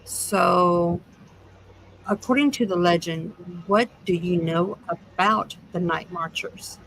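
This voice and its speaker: A woman's voice: fairly low pitch, takes it slowly, a bit bright, rough recording. A woman hosting a podcast interview, introducing her guest and putting questions, sometimes stumbling as she reads. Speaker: podcast host.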